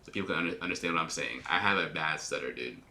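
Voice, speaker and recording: A man's voice: extremely low pitch, quick pace, pushed and bright. A man, an airline pilot, commenting live on a flight simulator, talking in a put-on radio-announcer voice and explaining each checklist step to viewers with small stumbles and restarts. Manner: occasional small repetitions and restarts.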